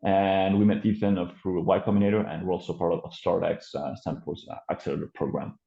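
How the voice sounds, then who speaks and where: A man's voice: low voice, steady tone, a little fast, vocal fry, dark tone. French man, hesitant, on a muffled call. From France. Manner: hesitant, many fillers and restarts. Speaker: company co-founder presenting. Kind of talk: presentation on a video call. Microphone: video call. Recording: muffled roomy call audio.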